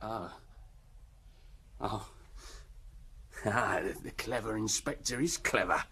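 A man's voice: on the low side, slow pace, sharp tone, slightly rough, heavily acted. An actor playing a drunk, belligerent, boastful village man in a radio drama. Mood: sullen.

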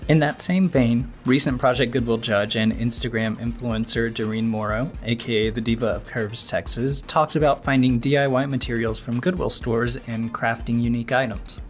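A man's voice: mid-range voice, fairly even intonation, moderate pace, hardly any pauses, faint music behind. A man hosting a podcast, delivering scripted narration. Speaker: podcast host.

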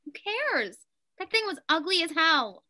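A woman's voice: very high-pitched, very animated, unhurried, pushed and bright, full character voice. A young woman reading the part of a plucky, sardonic daughter in a play over a video call. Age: young adult. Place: room at home. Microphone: computer mic on video call.

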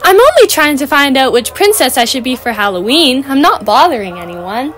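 A woman's voice: high-pitched, big pitch swings, a little fast, fairly bright tone, faint music behind. A woman playing an earnest, bookish, obsessive young schoolgirl in a doll cartoon, explaining herself. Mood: defensive.

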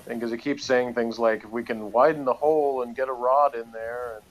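A man giving his opinions in a casual review chat, talking in a halting, conversational way. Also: mid-range voice, steady tone, muffled recording.

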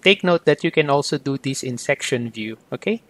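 A man teaching software step by step in an explanatory screen-recorded lesson, often starting sentences with "so". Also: mid-range voice, expressive, brisk, no room sound. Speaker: software tutorial instructor.

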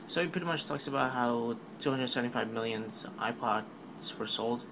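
A man's voice: mid-range voice, fairly quick, background noise. A man giving a casual, rambling voice-over recap of a product keynote, full of fillers and offhand remarks. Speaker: tech video narrator.

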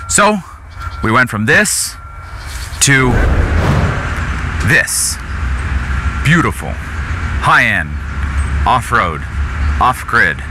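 Bright, forceful American man, lowish voice. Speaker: show host from an RV dealership. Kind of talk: casual vlog presenting. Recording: noticeable background noise.

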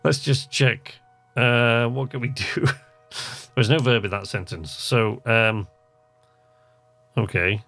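A man's voice: medium pitch, steady tone, slow, gravelly, long pauses, fairly bright tone, faint music behind. A man giving casual gameplay commentary, talking to himself and reading game text aloud in an easily amused, musing way. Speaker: gamer, video host.

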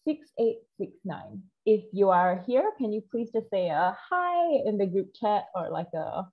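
Young woman hosting a webinar, really husky voice, thin muffled call sound. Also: mid-range voice, sing-song, measured pace. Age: young adult. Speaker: webinar host. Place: indoors, video call. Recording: dull, muffled video call audio.

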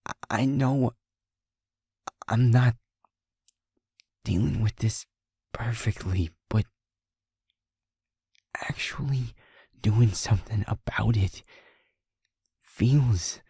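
A man playing a stubborn, hurting young werewolf boyfriend in an intimate audio roleplay, with a husky, breathy delivery that often drops near a whisper and sounds earnest and strained. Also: on the low side, mellow, no echo, clean studio sound, exaggerated voice. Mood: anguished, driven. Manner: halting, earnest, emotionally strained.

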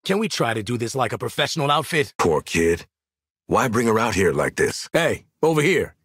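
An actor with an American accent playing a gruff, sardonic assassin in a video game, blunt and slangy, with a raspy voice. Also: male voice, mid-range voice, sing-song, normal speed, a bit bright, dry recording, studio quality. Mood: gruff, exasperated. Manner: brash, blunt, street-smart slang, barking callouts. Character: gruff, no-nonsense male assassin, sardonic squad sharpshooter. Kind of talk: video game combat voice lines.

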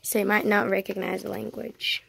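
A woman's voice: mid-range voice, level tone, moderate pace, creaky, a bit bright, slight background noise, poor audio. A young girl helping lead a casual video tour of her home, chiming in to finish and correct her sibling's lines. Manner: chimes in to finish and correct the other speaker. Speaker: co-host of house tour. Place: inside a house.

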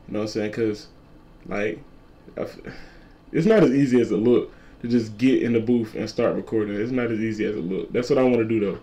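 A twenty-year-old man with a deep voice, a content creator talking casually to camera and repeating his points. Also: warm tone. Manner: repetitive, laid-back slangy talk, repeats lines. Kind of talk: casual vlog talk to camera.

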